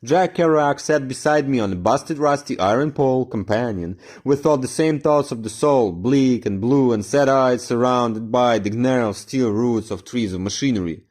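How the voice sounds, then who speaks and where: A man giving a dramatic poetry reading, moving from low, slow brooding passages to higher, louder, fervent ones. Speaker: poetry reader.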